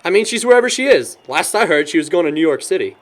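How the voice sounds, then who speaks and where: A man's voice: on the high side, very animated, fast talker. An actor playing a lovesick, sarcastic young man in a naturalistic film drama, speaking emotionally. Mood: frustrated, judgmental. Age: young adult.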